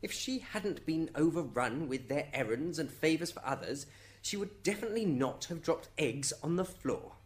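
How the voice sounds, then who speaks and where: A man's voice: a little high, lively intonation, normal speed, lightly acted. A man playing an aggrieved dead husband giving witness testimony in an amateur radio drama. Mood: resentful.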